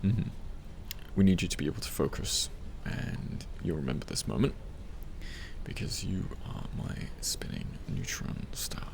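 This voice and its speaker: A man playing a tender, teasing partner in a soft-spoken ASMR roleplay, soothing and gentle as he helps a loved one study. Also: low-pitched, sing-song, measured pace, vocal fry, noisy background, full character voice. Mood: playful, soothing. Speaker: ASMR voice actor. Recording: faint background library ambience.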